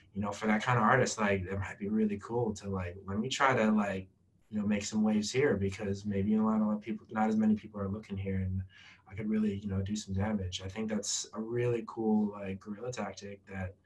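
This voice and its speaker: A young man speaks in a low voice on a video call from a small bright room. He talks in an animated, rambling way, full of fillers. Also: moderate pace, low-quality recording. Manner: animated, loose, lots of fillers. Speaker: guest from a music data company. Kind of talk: casual video-call interview.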